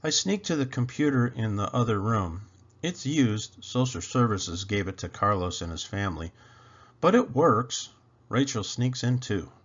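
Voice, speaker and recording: A man reading a children's story aloud with expressive narration, as a storybook reader. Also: fairly low pitch, unhurried, lo-fi sound.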